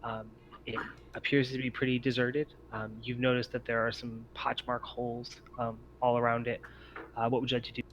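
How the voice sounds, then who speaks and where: A man narrates a tabletop game as its game master, in a halting, hesitant way. His voice is mid-pitched, and the recording is dull with faint noise. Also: flat delivery, a bit bright.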